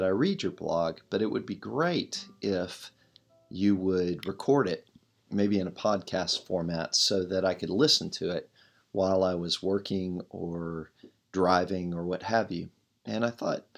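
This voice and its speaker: A middle-aged pastor with a low, gravelly voice reads his own devotional writing aloud. He is loud and earnest, pressing hard on the words that matter most. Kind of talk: reading a devotional blog as a podcast.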